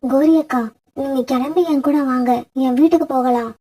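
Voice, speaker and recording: High-pitched, husky, comforting sparrow, earnest, cartoon fable, played by the woman narrator. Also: fairly even intonation, moderate pace, dark tone. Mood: urging. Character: kindly, sympathetic younger female sparrow. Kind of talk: Tamil animated bird fable dialogue.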